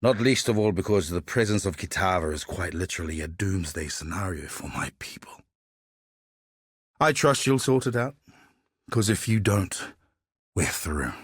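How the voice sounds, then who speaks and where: An actor playing a sly, world-weary male barber and informant in a video game, husky and wry in his phrasing. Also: low-pitched, expressive, a little slow, pauses often, a bit bright, no room sound, studio quality, full character voice. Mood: wary, resentful. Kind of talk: scripted video game character dialogue.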